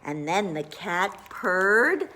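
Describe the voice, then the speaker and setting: A woman reads a farmyard picture book aloud in a library children's corner, taking her time with the rhythm. She teases each animal sound with a pause and invites her young audience to answer. Her voice sits at an ordinary middle pitch. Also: sing-song, pushed and bright. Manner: playful, slows down before each animal sound and quizzes young listeners.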